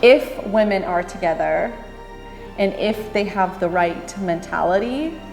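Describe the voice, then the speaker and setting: A woman from Brazil, speaking candidly and lively in an interview, with bursts of speed. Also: medium pitch, a little slow, gravelly, echoey room, big-room sound, soft background music. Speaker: interviewee, runner.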